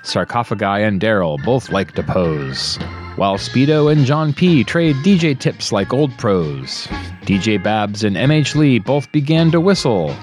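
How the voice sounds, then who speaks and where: A man's voice: lowish voice, sing-song, measured pace. A man reading a holiday poem in rhyme with playful, theatrical storytelling. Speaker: DJ on a radio show. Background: plucked guitar music.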